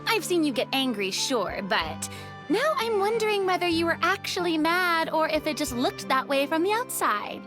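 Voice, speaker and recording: Very high, theatrical young lady. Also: normal speed. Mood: musing, teasing. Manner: expressive, breezy, frank. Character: theatrical, candid young female former superior, playful. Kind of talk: video game character dialogue. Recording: music playing behind the voice.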